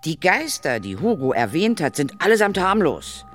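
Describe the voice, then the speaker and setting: A woman playing a brisk, unflappable ghost hunter in a children's audio drama, matter-of-fact, authoritative and a little wry. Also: on the low side, sing-song, soft background music.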